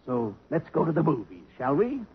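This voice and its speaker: A crafty old grandfather with a genial, slightly raised voice. He talks smoothly and with quiet mischief. The sound is dull and lo-fi, like an old radio broadcast. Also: on the high side, huge pitch swings, normal speed, full character voice. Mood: confiding. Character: older man, sly scheming grandfather, genial. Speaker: actor in a radio play. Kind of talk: 1950s radio drama acting.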